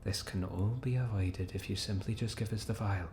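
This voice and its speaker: An actor playing a stern agent in an audio drama, speaking in a grave, insistent way. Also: male voice, fairly low pitch, fairly even intonation, normal speed, darkish tone, a little hiss or hum, close to an everyday voice. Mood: grave, urgent. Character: stern male agent confronting a villain.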